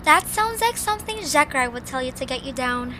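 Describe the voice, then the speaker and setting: A woman voicing a headstrong, earnest and excitable young girl creature in an amateur cartoon audio drama. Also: high voice, fairly quick, a little hiss or hum. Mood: reassuring, indignant.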